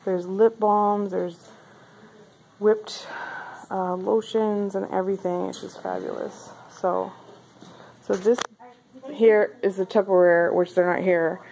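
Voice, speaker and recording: A woman's voice: medium pitch, level tone, slow, vocal fry, pauses often, warm tone, low-quality recording. A woman casually vlogging a walk-through of a craft bazaar, chatty and upbeat with lots of fillers. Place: indoor craft bazaar hall.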